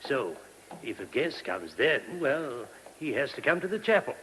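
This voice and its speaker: An actor in a radio play voicing a gentle, earnest monk who explains things courteously and a little hesitantly. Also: male voice, mid-range voice, sing-song, moderate pace, poor audio. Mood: earnest, explanatory. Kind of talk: radio drama acting. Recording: hissy old radio recording.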